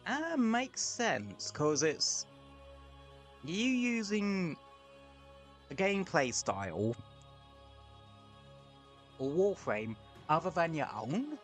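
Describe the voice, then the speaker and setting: A man's voice: a little high, sing-song, extremely slow, long pauses, faint music behind. A man streaming a game, talking casually in rambling, sweary asides at home. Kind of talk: casual gameplay commentary. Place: home room with window open.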